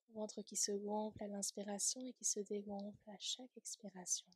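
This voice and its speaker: A woman leading a guided yoga nidra relaxation in a soft, breathy, soothing voice, gently trailing off at times toward a whisper. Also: mid-range voice, flat delivery, normal speed, no room sound.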